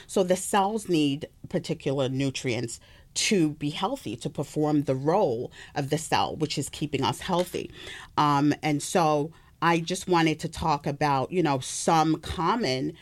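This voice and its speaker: A woman's voice: low voice, measured pace. Middle-aged woman, mixed British-American accent, careful explainer, interview guest, health practitioner. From Birmingham, England.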